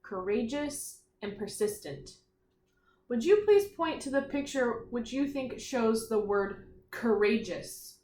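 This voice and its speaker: A woman teacher giving a read-aloud vocabulary lesson to young children, enunciating deliberately, repeating phrases and putting questions to her listeners. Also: on the high side, lively intonation, darkish tone, a little hiss or hum. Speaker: primary school teacher. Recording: echoey room.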